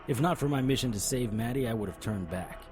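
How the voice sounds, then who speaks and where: An actor playing a brooding, wry investigator who narrates a noir-style audio drama in a dry, hard-boiled manner. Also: male voice, mid-range voice, quick pace, music in the background, close to an everyday voice. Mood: grim, wry. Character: brooding, wry, stubborn male supernatural investigator. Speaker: narrator and lead character.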